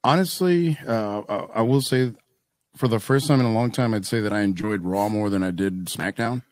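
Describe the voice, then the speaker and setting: A man's voice: lowish voice, normal speed, dry recording. An American man in his thirties co-hosting a podcast in a casual, chatty style. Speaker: podcast co-host. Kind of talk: casual wrestling review chat. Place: home room with desk setup, on a video call. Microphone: microphone on boom arm, close.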